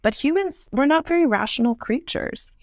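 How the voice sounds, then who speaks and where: Female podcast guest, articulate and explanatory, noticeable vocal fry, dry close recording. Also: sits high, lively intonation, normal speed, no room sound. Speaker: podcast guest, urban design expert. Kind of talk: thoughtful interview conversation.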